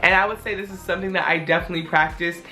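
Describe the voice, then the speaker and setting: Hoarse, upbeat young woman vlogging. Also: on the low side, fairly even intonation, very fast, pushed and bright, faint music behind. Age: 20s. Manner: chatty, candid, swears casually. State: hoarse, voice not fully back. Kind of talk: casual talking-head vlog.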